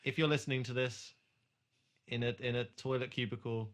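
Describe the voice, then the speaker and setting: A man's voice: mid-range voice, fairly even intonation, brisk. A man hosting a podcast in casual chat, rambling, jokey and given to swearing. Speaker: podcast host.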